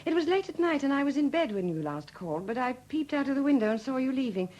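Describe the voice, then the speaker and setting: An actress playing a wry, bitter widow with a husky, expressive voice in a 1970s radio drama. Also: female voice, sits high, quick pace, highs cut off. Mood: bitter, confiding. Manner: sardonic, expressive.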